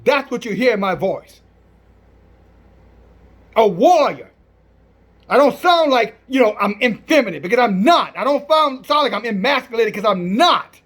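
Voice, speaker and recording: High-pitched, forceful man preaching. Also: animated, moderate pace, long pauses. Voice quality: strong, loud preaching voice. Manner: forceful, declamatory, defiant. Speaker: Hebrew Israelite preacher. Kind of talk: fiery sermon with scripture reading and commentary.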